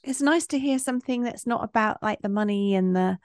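A woman hosting a podcast chat, warm and encouraging as she steers the conversation. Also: fairly high pitch, a little fast, dry recording, clean studio sound. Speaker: podcast host. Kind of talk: casual chat.

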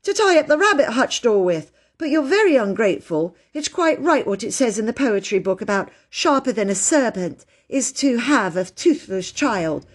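A woman's voice: mid-range voice, expressive, normal speed, hardly any pauses, close to an everyday voice. A woman voicing an earnest, indignant little girl who muddles her big words in a children's storybook.

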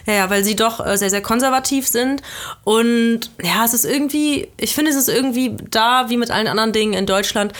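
A woman's voice: on the high side, brisk. A young woman guest who grew up in Belgium, talking frankly in a casual interview, with long run-on thoughts and many fillers. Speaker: guest, influencer and cannabis activist.

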